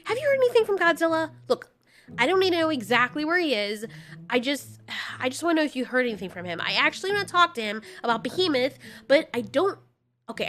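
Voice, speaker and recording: An emotional female giant moth monster, acted out by a woman in an audio drama. The voice is high and swings widely in pitch. She speaks haltingly and earnestly, as a caring creature who wears her worries openly. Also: a bit bright. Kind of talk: amateur audio drama dialogue.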